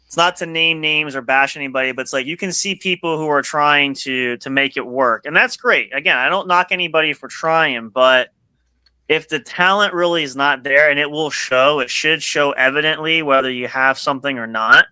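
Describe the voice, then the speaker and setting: A man's voice: fairly high pitch, normal speed, bright, forceful tone, smooth, no echo, rough recording. A man hosting a podcast in casual interview chat, rambling with lots of restarts and fillers. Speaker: podcast host.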